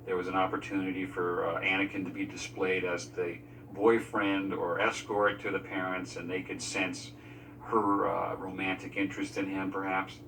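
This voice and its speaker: A man with a low but bright, forceful voice explains filmmaking decisions in an interview. His delivery is measured and explanatory. The microphone is slightly distant and a faint hum sits underneath. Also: level tone. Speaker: film crew member discussing editing decisions. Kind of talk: explanatory behind-the-scenes interview commentary.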